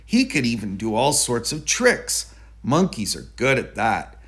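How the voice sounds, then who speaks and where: Male read-aloud narrator for a children's picture book, medium pitch, very lively intonation, deliberate delivery. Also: husky. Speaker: storybook reader.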